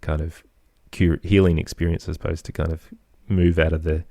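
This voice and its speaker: An Australian man speaking as an interview guest, thoughtful and halting as he searches for his words in reflective conversation. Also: rumbling low, little pitch movement, warm tone, dry recording, studio quality. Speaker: guest, composer and children's podcaster.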